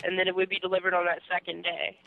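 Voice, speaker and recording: A woman's voice: on the low side, monotone, fast talker, vocal fry, no room sound. A woman working as a shipping customer service agent, talking on a phone call. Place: on the other end of a phone call. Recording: muffled narrowband phone line.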